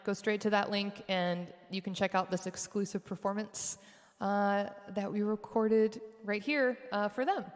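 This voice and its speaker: A woman hosting a music livestream from home, chatting casually between songs with a fairly low voice and plenty of fillers. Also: steady tone, unhurried. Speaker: singer and livestream host. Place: home studio set. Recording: echoey room with long reverb.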